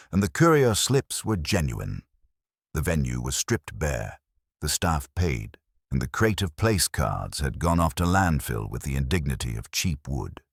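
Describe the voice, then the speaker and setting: A man's voice: rumbling low, no room sound, studio quality. A man reading a first-person detective story as a scripted audiobook narration.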